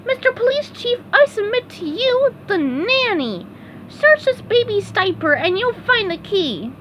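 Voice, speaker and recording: A woman voicing an eager, cheerful young male sea sponge in a storybook reading, high and excitable. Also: a little slow, slight background noise, exaggerated voice, low-quality recording. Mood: earnest.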